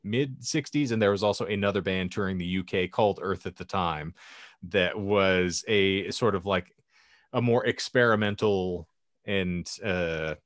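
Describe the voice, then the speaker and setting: A man's voice: low-pitched, measured pace, fairly bright tone, dry recording, clean studio sound. A man hosting a panel podcast, chatting and telling anecdotes in wordy, ornate phrasing. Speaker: podcast host.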